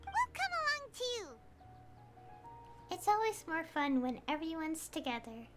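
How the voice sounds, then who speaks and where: Squeaky, cheeky little game fairy. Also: female voice, huge pitch swings, a little slow, fairly bright tone, soft background music, full character voice. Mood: cheerful. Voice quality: squeaky, childlike. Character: small, childlike, cheeky flying fairy companion. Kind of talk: video game voice acting.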